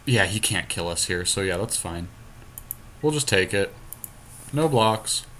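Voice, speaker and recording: Low-pitched, bright-voiced man talking strategy. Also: expressive, a little slow, a little hiss or hum. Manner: thinks aloud, counting and reasoning through moves. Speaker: podcast co-host. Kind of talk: casual strategy commentary while playing a card game.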